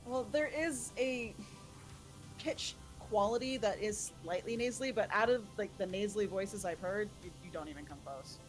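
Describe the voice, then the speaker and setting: A woman's voice: mid-range voice, normal speed, faint music behind, rough recording. Easygoing woman co-streaming a game. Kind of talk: casual live-stream chat while gaming.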